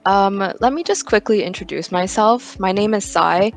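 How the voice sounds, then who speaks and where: An American interviewer casually hosting an online roundtable, speaking with many hesitant ums. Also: male voice, high voice, moderate pace, gravelly, soft background music. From United States. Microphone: video call.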